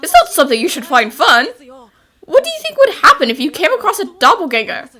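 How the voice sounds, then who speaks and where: A woman's voice: high voice, quick pace, exaggerated voice. A woman voicing an earnest, anxious and polite teenage boy assistant in a cartoon dub. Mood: alarmed. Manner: polite, excitable, flustered. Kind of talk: animated series fan dub.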